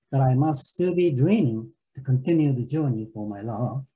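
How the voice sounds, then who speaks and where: An older man reciting poetry in a deliberate, measured way over a video call. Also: mid-range voice, dark tone, rough recording. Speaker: poet reading own poem.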